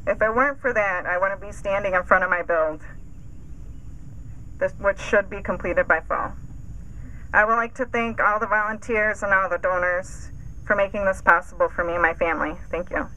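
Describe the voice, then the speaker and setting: Woman homeowner, bright clear tone, earnest and slightly nervous speech outdoors. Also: mid-range voice, moderate pace, slight background noise, low-quality recording. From Holland, Michigan. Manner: earnest, a little nervous, thankful. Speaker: homeowner speaking at an event. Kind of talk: prepared public remarks. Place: outdoors at a house build site.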